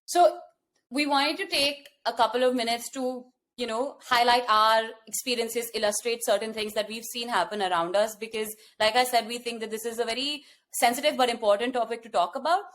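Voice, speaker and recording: High-voiced Indian woman telling a story. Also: a little fast. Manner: animated storytelling with frequent restarts. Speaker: podcast co-host. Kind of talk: casual podcast chat.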